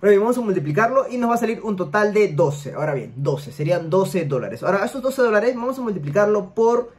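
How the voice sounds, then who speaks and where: Brief: young male host, high voice, rapid-fire screen-share explainer at home desk webcam. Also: expressive. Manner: rapid explainer, tag questions. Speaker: money-making YouTuber. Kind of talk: screen-share tutorial talk. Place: home room, seated in gaming chair.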